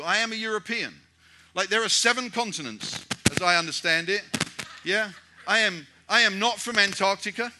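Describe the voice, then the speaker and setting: A man from Britain delivers a sermon in a high, very bright voice. He talks loosely and conversationally, restarting phrases and hammering the same rhetorical question. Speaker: preacher.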